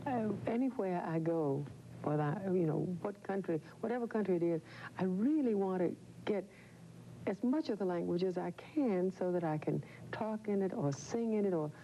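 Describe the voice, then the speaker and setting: A woman's voice: medium pitch, expressive. A woman hosting a talk show, chatting with a guest in an eager, effusive way, often chiming in. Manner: warm and effusive, quick to chime in. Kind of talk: interview chat. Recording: dull lo-fi 1990 TV audio, faint hum.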